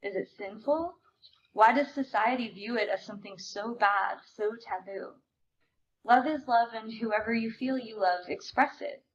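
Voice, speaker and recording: A woman giving an expressive, emphatic dramatic reading of book excerpts at a live event. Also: fairly high pitch, unhurried. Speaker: theater reader. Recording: dull recording with clear background noise.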